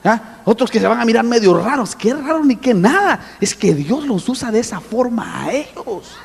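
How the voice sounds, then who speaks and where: A man preaching a sermon with an animated manner, often calling out to his listeners as brother. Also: very high-pitched, normal speed, vocal fry, husky, big-room sound. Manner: animated, addresses listeners as brother, acts out voices. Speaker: apostle preaching.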